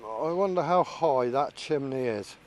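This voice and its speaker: A man's voice: mid-range voice, expressive, unhurried. A man giving casual, musing running commentary as a vlogger flying a drone, thinking aloud as he goes. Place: outdoors.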